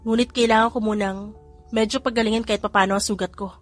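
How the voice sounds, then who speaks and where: A woman reader voicing a male police general who explains calmly and teases affectionately, with little change from her own voice. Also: medium pitch, fast, faint music behind, lightly acted. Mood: explaining.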